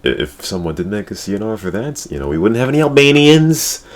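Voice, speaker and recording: A man's voice: fairly low pitch, very animated, fast talker. A man hosting a casual gaming talk show, talking in a crude, slangy way and repeating his catchphrases. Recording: clear background noise.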